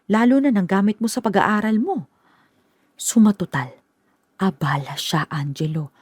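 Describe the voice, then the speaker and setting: A woman's voice: medium pitch, unhurried, darkish tone, slightly rough, dry recording. An actress playing a tired middle-aged mother who complains about an extra person in the house, in a radio drama. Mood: complaining. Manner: complaining, weary. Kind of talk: Filipino radio drama acting.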